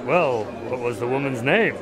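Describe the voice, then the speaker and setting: A man's voice: mid-range voice, normal speed, over music, close to an everyday voice. A man playing a skeptical doctor and detective's sidekick in an audio drama, questioning and mildly exasperated.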